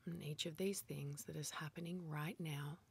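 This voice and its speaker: Breathy, hushed woman guiding meditation. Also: deep voice. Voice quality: soft, breathy, whispery. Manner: hushed, calm, gently instructing with pauses. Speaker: meditation guide. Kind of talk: guided meditation.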